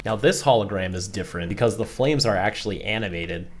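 A man's voice: on the low side, brisk, soft background music. A young man giving casual, opinionated and analytical commentary as a video reviewer. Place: small indoor room at home.

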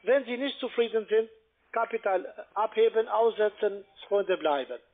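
High-pitched foreign salesman on the phone. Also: normal speed. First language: not German. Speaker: telephone investment salesperson. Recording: muffled narrow-band phone line.

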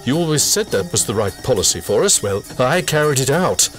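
An anxious, resentful trader, as a man plays him in an audio drama, quick to take offense and full of complaint. His pitch swings widely as his indignation builds, with music playing under the dialogue. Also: sing-song, natural voice. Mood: aggrieved. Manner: agitated, indignant, self-pitying. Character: anxious, bitter colonial trader, easily outraged.

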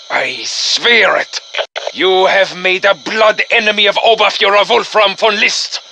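Sharp, high-voiced German Nazi villain. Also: male voice, a little hiss or hum, heavily acted, rough recording. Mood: bitter vengeance. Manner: menacing, pompous, gloating. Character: villainous Nazi officer commanding undead soldiers, male, arrogant, vengeful. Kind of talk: theatrical video game villain acting.

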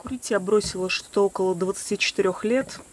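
A woman's voice: medium pitch, fast, noisy background. Woman, early forties, candid chatty vlog talk, self-mocking, filmed outdoors on a selfie stick, crickets behind. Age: 40s. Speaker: vlogger. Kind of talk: casual talk to camera. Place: outdoors by a wooden shed in a garden. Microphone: selfie stick camera at arm's length.

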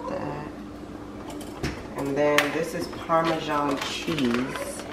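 A woman's voice: sits low, fairly even intonation, drawn-out words. A young adult woman talking casually through her cooking in a home kitchen, picked up by a camera a few feet away. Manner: informal, talking while busy cooking. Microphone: camera mic a few feet away. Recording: roomy, noisy kitchen camera recording.

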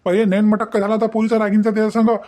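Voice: medium pitch, flat delivery, rapid-fire, warm tone, close to an everyday voice. An actor playing an indignant, bitter young groom in a cartoon family melodrama, accusing and dramatic, with a Vidarbha accent.

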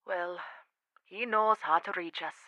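An actress playing a kindly, plain-spoken Scottish aunt in a radio drama, homely and matter-of-fact. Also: female voice, lowish voice, measured pace, bright, forceful tone, no echo, highs cut off. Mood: frank, consoling.

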